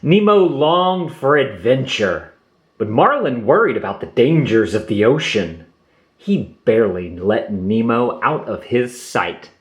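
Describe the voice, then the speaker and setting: Slow, very expressive male storybook reader. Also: medium pitch. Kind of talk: children's read-aloud narration. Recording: roomy echo.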